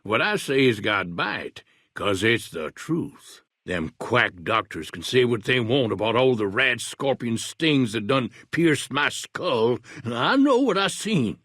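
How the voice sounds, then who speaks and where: A paranoid, rambling old wasteland eccentric for a video game, with a folksy backwoods drawl. His husky voice leaps around in pitch, from hushed conspiratorial whispers up to alarmed yelps. The studio recording is close and dry. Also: very animated, takes it slowly, no echo, full character voice. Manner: suspicious, conspiratorial, jumps between whispers and outbursts.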